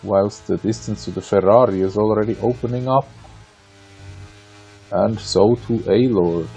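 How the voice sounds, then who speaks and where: Low gravelly Austrian male race commentator. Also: steady tone, unhurried, dark tone, soft background music, rough recording. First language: German. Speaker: sim racing league commentator. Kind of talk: live motorsport commentary, conversational and wry.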